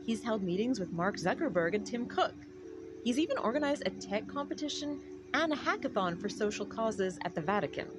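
A woman podcast host delivers scripted narration in a conversational style. Her pitch moves around in an animated way, and there is clear noise in the recording. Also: a little fast.

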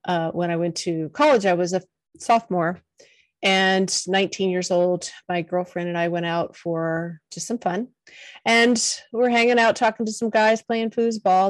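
A middle-aged woman, a podcast guest, telling her personal story in a soft, earnest voice. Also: fairly low pitch, no echo. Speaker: podcast guest, confidence coach.

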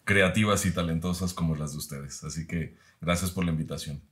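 A man, speaking as a podcast guest in a measured, reflective way with frequent pauses. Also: deep voice. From Mexico. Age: 30s. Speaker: guest, university professor.

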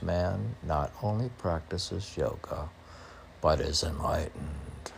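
A man's voice: sits low, creaky, darkish tone, slight background noise. A man musing aloud in a rambling poetry reading, talking to himself as he presents his own poems. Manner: musing, talks to himself in questions. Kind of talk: rambling poetry reading with self-questioning commentary.

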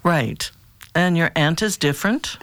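A woman playing a calm, patient therapist who asks probing questions in a measured, formal way in an audio drama. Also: fairly low pitch.

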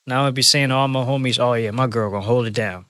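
Young man, mid pitch, blunt slangy banter, dry close recording. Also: fairly even intonation, very fast, a bit bright, no echo. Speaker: podcast co-host. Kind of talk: casual chat.

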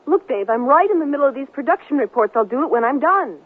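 An actress playing an earnest, soft-hearted young working woman in a radio drama, with a husky, plain-spoken delivery. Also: high-pitched, animated, fast, no echo. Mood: irritated. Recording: thin, muffled 1970s radio drama recording.

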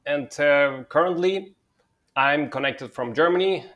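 A man's voice: fairly high pitch, measured pace, a bit bright. A man from Spain, a telecom company head talking through his career in an interview with frequent fillers and restarts. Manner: hesitant, with filler words and repeated starts. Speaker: guest, telecom consulting CEO. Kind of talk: conversational interview.

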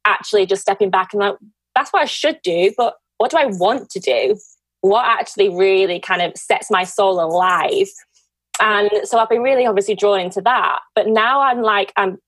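A woman hosts a casual podcast conversation at a medium pitch. She is effusive and encouraging with her guest. The sound is dry, with very little room around the voice. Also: fairly bright tone, dry recording, low-quality recording. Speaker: podcast host.

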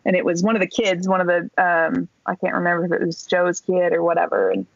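A woman's voice: lowish voice, quick pace, vocal fry, no room sound. Chatty woman telling a story, dull dry sound. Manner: animated, chatty, many fillers and swear words. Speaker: podcast guest. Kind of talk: casual storytelling. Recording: dull dry podcast recording.

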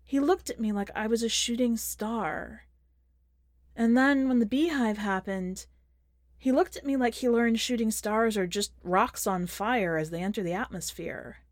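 A woman reading a first-person short story in a measured, expressive audiobook style. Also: a little high, dry recording, clean studio sound. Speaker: podcast host and fiction reader.

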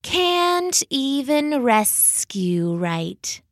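A woman's voice: sits high, big pitch swings, very slow, dry recording, clean studio sound, pitched above the performer's own voice, heavily acted. A woman voicing a haughty, lazy snake who drawls and hisses with theatrical flair in a storybook reading. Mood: sneering.

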